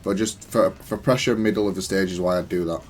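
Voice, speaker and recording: A man's voice: deep voice, a little fast, a little hiss or hum. A man giving casual, analytical gameplay commentary in a matter-of-fact, self-critical way. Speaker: gaming content creator.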